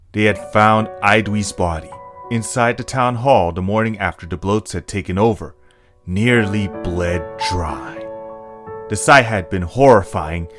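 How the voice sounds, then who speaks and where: A man reading scripted story narration as the narrator of an audio drama. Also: lowish voice. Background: loud plucked guitar music.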